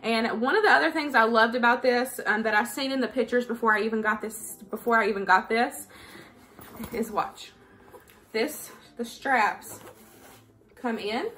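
Lady vlogger in her 30s, kinda high voice, chatty n folksy, talking casual at home. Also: normal speed, sharp tone, slight background noise. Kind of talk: casual chat to camera, product review and haul. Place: living room at home.

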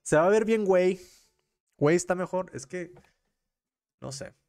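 Young Mexican man, higher-pitched voice, chatting casually. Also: expressive, normal speed, darkish tone. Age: young adult. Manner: informal, slangy, rambling with self-corrections. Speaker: commentary streamer and host. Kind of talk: casual livestream commentary on news and gossip. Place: small room at home. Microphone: close desk microphone on a boom arm.

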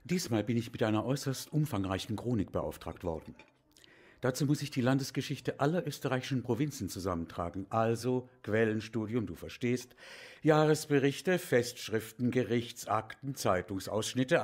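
An actor playing a wry, bookish Viennese historian in a German audio drama, with a husky voice. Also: male voice, medium pitch, animated, normal speed. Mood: animated, wry. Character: bookish, self-mocking male Viennese historian, wry and absorbed.

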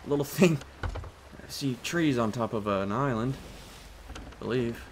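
A man with a put-on British accent, giving casual, excitable commentary over his own gameplay and swearing as he reacts. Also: mid-range voice, a little slow, a little hiss or hum. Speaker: gaming channel host.

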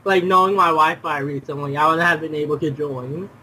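A man's voice: fairly high pitch, steady tone, fairly quick, sharp tone, husky, rough recording. A young man chatting casually during an online game, often repeating himself and exclaiming. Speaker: gamer.

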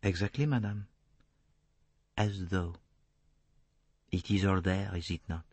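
A man voicing a calm, shrewd, courteous foreign detective, speaking formally and deliberately in an audiobook. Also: sits low, slightly rough, no room sound, full character voice. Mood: placid, dry. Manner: placid, detached, formal, deliberate phrasing.

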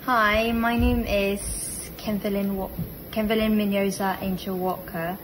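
A woman's voice: medium pitch, level tone, a little slow. A young woman chatting casually and playfully to a phone camera in a living room, joking as she introduces herself. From Philippines-born, grew up in America. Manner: joking, playful put-on accents. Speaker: guest niece introducing herself on her aunt's vlog. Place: living room indoors, on a sofa. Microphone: phone a short distance away. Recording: clearly noisy home phone recording.

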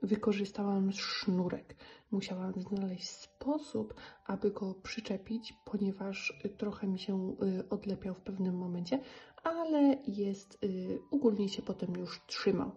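A woman's voice: medium pitch, a little slow, warm tone. A woman giving a casual, somewhat hesitant craft tutorial for children in voice-over. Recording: slightly dull, music behind.

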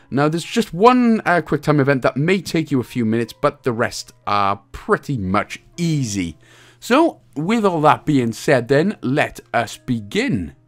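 A man presents a casual game achievement guide. His pitch swings in a lively way as he explains things, and his manner is jokey and a bit crude. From Wales. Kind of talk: casual video game walkthrough commentary.

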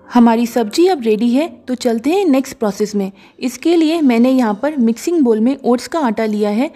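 A woman calmly narrating a cooking recipe step by step as a voice-over, slipping English words into her sentences. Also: fairly high pitch. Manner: calm, instructive, mixes English words into Hindi. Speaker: cooking show host. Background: soft background music.

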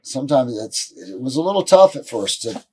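Husky middle-aged man telling stories. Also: medium pitch, moderate pace, rough recording. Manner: rambling, animated, frequent restarts. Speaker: interview guest. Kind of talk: casual storytelling in a shoot interview. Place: indoor room, seated on a leather couch.

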